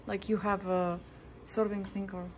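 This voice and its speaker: A woman's voice: mid-range voice, measured pace. A woman filming in her home kitchen, chatting and exclaiming as she handles a roast turkey. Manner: excited, laughing, exclaiming often. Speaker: vlogger. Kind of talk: casual kitchen chat while filming. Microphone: phone camera held by the speaker. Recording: noisy phone recording.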